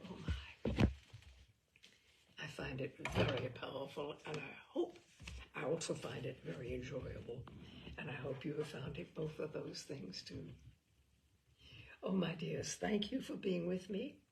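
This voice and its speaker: A woman's voice: sits low, a little slow, gravelly, long pauses, noisy background. A woman hosting a story-reading show, talking casually to listeners in an effusive, affectionate, rambling manner.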